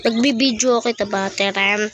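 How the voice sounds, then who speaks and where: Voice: medium pitch, steady tone, normal speed, a bit bright, a little hiss or hum. A Filipino speaker doing casual game playthrough commentary, chatty and exclamatory, addressing viewers directly. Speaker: gaming vlogger.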